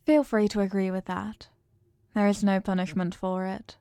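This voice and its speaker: Woman playing a softly commanding evil queen, close and dry. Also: medium pitch, little pitch movement, smooth, dry recording, natural voice. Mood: gracious, doting. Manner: formal, measured, softly commanding. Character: possessive evil queen, regal, doting, coolly manipulative. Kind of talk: scripted ASMR roleplay monologue.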